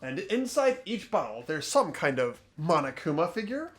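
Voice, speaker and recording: A man's voice: a little high, very animated, moderate pace. A man playing an earnest teenage boy detective, reading a video game script aloud over music. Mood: earnest, pondering. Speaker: player voicing a game protagonist. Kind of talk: voiced let's play, reading game script.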